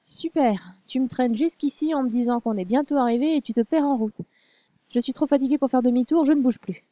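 Female narrator as a formal young princess; mellow, polite, dry lo-fi sound. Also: high-pitched, brisk, no echo, voice pushed up, natural voice. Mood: tired. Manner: formal, proper speech. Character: young princess, weary yet curious and proper.

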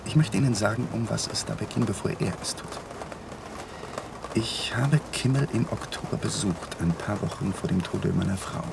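An actor playing a guilt-ridden, stubborn young lawyer in a radio crime drama, speaking earnestly. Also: male voice, lowish voice, normal speed, gravelly, background noise.

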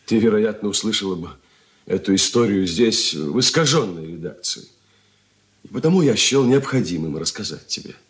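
Low-voiced, animated man acting a nervous suitor. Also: moderate pace, close to an everyday voice. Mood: earnest confession. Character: nervous, evasive suitor confessing to his fiancée, then pleading. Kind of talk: Soviet radio drama acting.

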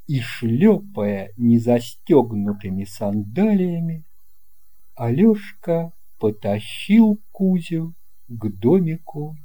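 A man narrating a children's tale in an unhurried, expressive storytelling manner. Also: mid-range voice. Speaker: audiobook narrator.

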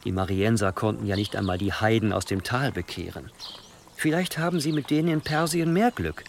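A man's voice: on the low side, lively intonation, brisk, creaky, a little hiss or hum. An actor playing a ruthless, scheming churchman who speaks with formal, calculating deference in a German historical radio drama. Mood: sly, persuasive. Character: scheming, obsequious, ruthless churchman advising his bishop.

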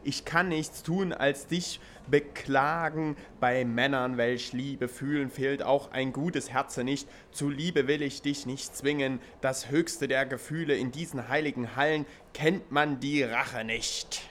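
A man performs a grandiose classical stage declaimer for a radio play. His voice is bright and forceful at a medium pitch, solemn and declamatory, over faint noise. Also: steady tone, takes it slowly, few pauses. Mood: grandly declaiming. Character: theatrical declaimer spouting lofty classical opera lines, grandiose.

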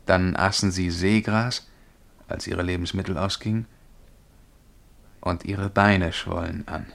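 Radio drama role: self-satisfied young male dreamer, lowish gravelly voice, earnest, easily offended. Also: fairly even intonation, unhurried, a bit bright, exaggerated voice. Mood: trancelike, grave. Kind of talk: radio play acting.

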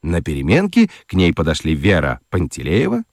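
Highly expressive male narrator. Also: normal speed, vocal fry, no echo, clean studio sound. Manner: expressive, storytelling. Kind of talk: scripted narration for a radio play.

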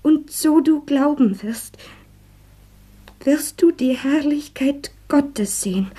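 Casting: solemn woman reciting scripture for radio drama, high but mellow, whispery, hushed. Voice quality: breathy, whispery.

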